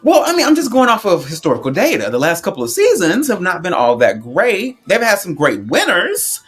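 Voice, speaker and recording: A man co-hosting a casual chat show, colloquial and animated as he gives opinions and tells stories. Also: high voice, fairly quick, soft background music. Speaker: podcast co-host.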